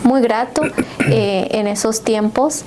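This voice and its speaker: A woman's voice: a little high, slow, mellow. A middle-aged woman with a Peruvian accent, telling her story conversationally as an interview guest. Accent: Peruvian Spanish. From Lima, Peru. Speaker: podcast guest. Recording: clear ambient background noise.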